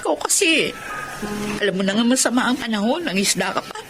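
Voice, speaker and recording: A loving, anxious young-sounding wife in a Filipino radio drama. Her voice is high and full of concern, with music running underneath. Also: lively intonation, brisk. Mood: tender, concerned. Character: worried, loving wife of a fisherman.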